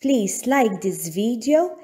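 Female children's read-aloud narrator. Extremely unhurried, pausing between phrases, with lively rises and falls. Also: a little high, breathy edge. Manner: deliberate, measured phrasing with frequent pauses. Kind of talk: scripted storybook narration for young children.

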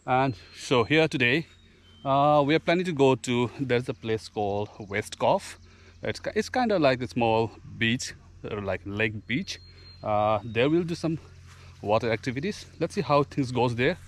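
A man's voice: medium pitch, animated, measured pace. A man in his forties vlogging outdoors by a lake, talking into a camera held at arm's length in a chatty, loose way. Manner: chatty, loose informal phrasing. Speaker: travel vlogger. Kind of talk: casual vlog narration.

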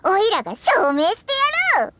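A woman's voice: very high voice, big pitch swings, fairly quick, background noise. A woman voicing a small, cheeky, boastful childlike fairy companion in an anime game, talking bluntly and boyishly. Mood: eager. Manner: cocky, blunt, boyish speech. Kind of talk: Japanese anime game voice acting. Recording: music behind the voice.

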